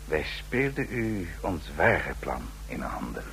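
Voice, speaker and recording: An actor playing a sinister, formally polite manservant in a Dutch radio play, speaking with deliberate, clipped courtesy. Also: male voice, very deep voice, very animated, a bit bright, exaggerated voice. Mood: coolly menacing. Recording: noisy old radio play recording.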